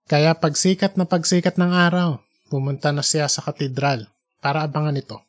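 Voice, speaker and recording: Mid-pitched audiobook storyteller. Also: level tone, normal speed. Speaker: narrator of fiction podcast. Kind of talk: scripted audiobook narration with character dialogue.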